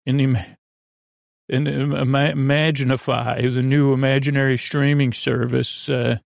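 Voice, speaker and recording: Man, creaky fry, lulling, meandering and halting, bedtime podcast host, dry close sound. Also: medium pitch, level tone, dry recording. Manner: meandering, halting, full of tangents and self-corrections; lulling, soothing. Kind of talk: rambling bedtime monologue.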